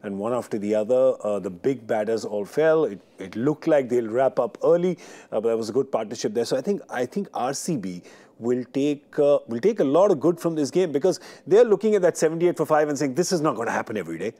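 A man hosting a post-match cricket discussion in a TV studio, animated and jokey, with quick yeahs and hmms. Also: medium pitch. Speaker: host.

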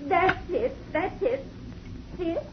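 A woman's voice: very high-pitched, expressive, slow pace, heavily acted. An actress playing an anxious, grieving woman in a 1950s radio drama, with a dull vintage sound and faint hiss. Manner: fretful and tearful.

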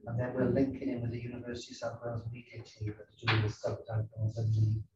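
A man's voice: medium pitch, monotone, muffled recording. Male presenter, eager, stumbling restarts, distant room mic, hiss. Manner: fast, enthusiastic, repeats words. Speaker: project presenter. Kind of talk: meeting report. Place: large meeting room. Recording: echoey room from far off, noisy.

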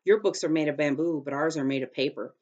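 A woman's voice: deep voice, fast talker, a little mellow, husky. Jack, a woman voicing an eager young boy. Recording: dull lo-fi recording.

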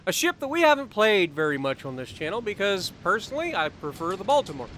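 A man explains his own gameplay in a slightly high, somewhat off voice, clearing his throat between thoughts. He teaches tactics with lots of uh's and restarts. Game gunfire and engine noise sit clearly under his voice. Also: sing-song, fast talker, a bit bright. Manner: explanatory, instructive, many uh fillers and restarts. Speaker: gameplay commentator. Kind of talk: recorded game commentary.

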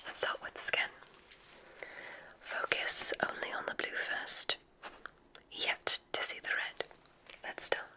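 A woman whispering an ASMR roleplay as a gentle witch examiner, giving soothing, instructive guidance. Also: takes it slowly, long pauses, low-quality recording.

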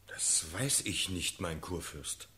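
A formal court attendant in a radio play, low voice, very deferential. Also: male voice, animated, takes it slowly, pushed and bright, full character voice. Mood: dutiful, reserved. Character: deferential attendant reporting to his sovereign. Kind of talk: classical verse radio drama acting.

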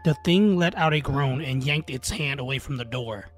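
A man's voice: medium pitch, normal speed. A man narrating a scripted scary folktale as a storyteller, with dramatic, suspenseful delivery. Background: background music.

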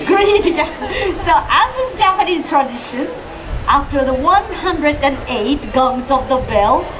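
A woman performing as a Japanese storyteller vendor, telling a gentle story to an audience. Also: high voice, noisy background. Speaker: theme park storyteller.

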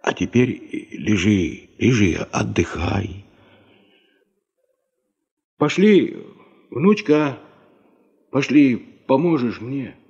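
An old tundra grandfather in a 1970s radio play. He is husky and slow, musing kindly in halting folk wisdom. The recording is slightly muffled. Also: mid-range voice, expressive, darkish tone, exaggerated voice. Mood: kindly, reassuring. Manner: halting, folksy, philosophical musing. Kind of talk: Soviet radio drama acting.

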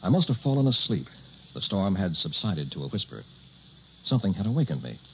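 Deep-voiced suspense narrator Dick, muffled recording. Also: male voice, big pitch swings, a little hiss or hum. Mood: tense recounting. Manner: suspenseful, deliberate storytelling. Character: Dick, young man narrating his eerie weekend. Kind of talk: 1960s radio drama narration. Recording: muffled old radio recording.

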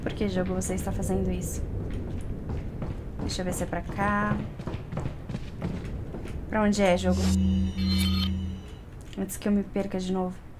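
A woman's voice: medium pitch, level tone, a little slow, pauses often, over music. A young Brazilian woman giving casual, chatty commentary while playing a game, talking to herself and to the game. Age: young adult. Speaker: gaming streamer. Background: video game ambience.

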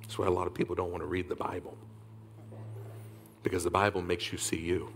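Man in his 30s, pastor, deep voice, delivering a sermon from a big church stage, dropping to whispers and rising to calls to the crowd, faint hum behind. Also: little pitch movement, brisk, vocal fry. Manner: preaching with sudden whispered drops and loud rises; calls on the crowd to answer back. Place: large church stage indoors.

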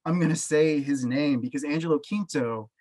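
Brief: Canadian man, 30s, emphatic testimony over a webcam, a bit higher-pitched than most men. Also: normal speed, a little mellow. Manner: earnest, emphatic, gestures with both hands. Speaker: panelist, professor. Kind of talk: personal testimony on a video call. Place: small home office with bookshelves. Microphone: webcam on a video call.

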